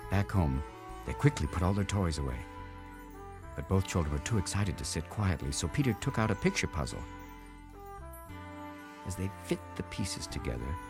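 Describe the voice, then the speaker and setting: Expressive, low-voiced man reading a storybook. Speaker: children's story reader. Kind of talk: expressive storybook read-aloud narration.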